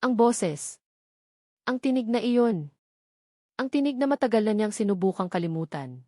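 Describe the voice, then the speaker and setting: A woman's voice: medium pitch, very animated, moderate pace, warm tone, dry recording, clean studio sound. A woman reading scripted narration of a romance story as its narrator.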